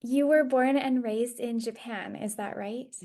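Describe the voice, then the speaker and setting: Slow, mellow-voiced woman podcast host. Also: on the high side. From Hawaii. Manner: warm, enthusiastic, affirming. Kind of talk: friendly interview over video call. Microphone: video call.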